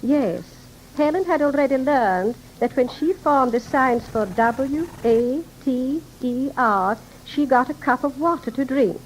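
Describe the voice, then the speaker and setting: A woman's voice: high-pitched, measured pace, few pauses. A woman explaining things formally and precisely as a guest in a 1930s radio interview. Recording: noisy 1930s radio broadcast recording.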